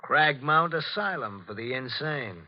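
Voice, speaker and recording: A man's voice: mid-range voice, lively intonation, measured pace, natural voice. Man as a sly, lilting radio-drama intruder. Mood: insinuating. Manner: casual, clipped, knowingly menacing. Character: sly, insinuating male intruder, ex-asylum worker. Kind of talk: 1940s radio drama acting. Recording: muffled 1940s radio recording.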